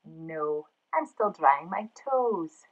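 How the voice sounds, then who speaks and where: A woman's voice: deep voice, lively intonation, drawn-out words, narrow, tinny audio, voice pushed down, exaggerated voice. A woman voicing a playful, stubborn little pig in a bedtime storybook, with emphatic childlike refusals. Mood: playfully stubborn.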